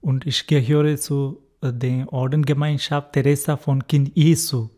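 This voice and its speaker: Slow, halting Indian-accented man. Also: mid-range voice, little pitch movement, warm tone. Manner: careful, halting German with fillers, recently learned. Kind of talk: interview answers.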